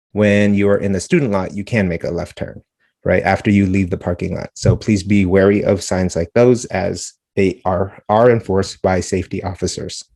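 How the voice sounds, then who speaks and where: A man's voice: lowish voice, a little mellow. A man working as a school dean, briefing parents on school policies in an earnest way, often repeating words and correcting himself. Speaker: dean of students. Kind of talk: informational presentation to parents.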